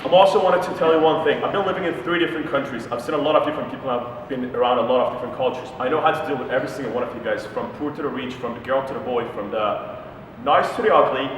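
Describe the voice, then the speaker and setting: Fast, eager young man's campaign speech. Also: medium pitch. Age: young adult. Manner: eager and repetitive. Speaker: student council candidate. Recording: echoey hall from far off, noisy.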